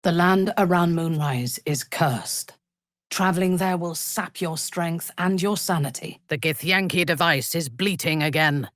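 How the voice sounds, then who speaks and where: Female dark elf commander, fantasy game, low register, very dry close sound, haughty, menacing, formal. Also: measured pace, dry recording. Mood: stern, commanding. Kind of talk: dramatic fantasy game voice acting.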